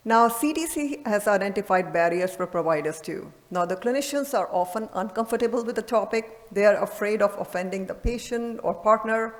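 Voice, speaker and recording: A woman giving an earnest, emphatic medical conference lecture as a presenting radiologist, recorded in a reverberant hall. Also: mid-range voice.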